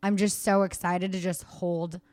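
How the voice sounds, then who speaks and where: A woman's voice: on the low side, level tone, moderate pace, darkish tone, dry recording. A pregnant woman hosting a podcast, chatting candidly as she answers fan questions. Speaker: podcast host. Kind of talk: casual chat.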